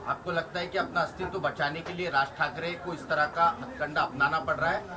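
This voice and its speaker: A man's voice: fairly high pitch, steady tone, fairly quick, crowd noise. A male reporter putting questions to someone in an interview.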